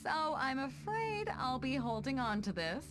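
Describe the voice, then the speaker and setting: A woman's voice: high-pitched, very animated, a little slow, background noise. A young woman playing a bold, teasing, good-hearted female sea captain in a video game, speaking with confident, playful command. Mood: mock-official.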